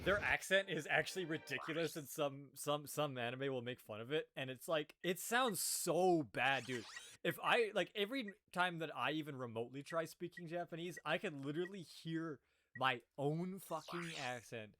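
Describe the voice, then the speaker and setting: A man's voice: on the high side, moderate pace, dry recording. A man from Canada chatting casually on a stream, rambling with many fillers. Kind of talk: casual chat. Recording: loud game music behind.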